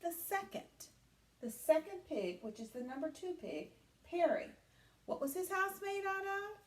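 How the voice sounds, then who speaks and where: Female early-years teacher, high voice with very lively intonation, patient question-and-pause delivery. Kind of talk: read-aloud and question lesson for young children.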